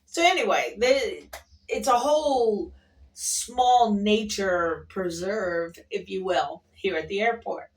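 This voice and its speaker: Slow-talking, folksy woman, slightly distant mic. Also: medium pitch, animated. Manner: chatty, meandering, folksy, playful. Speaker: vlogger. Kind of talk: casual rambling storytelling to camera. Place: at an airport workplace.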